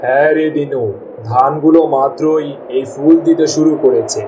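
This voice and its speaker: A man's voice: a little high, fairly even intonation, moderate pace, lightly acted. Distant-sounding worried village farmer father played by a man. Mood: worried, resigned. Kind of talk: cartoon voice acting. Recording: distant mic, clear rain noise.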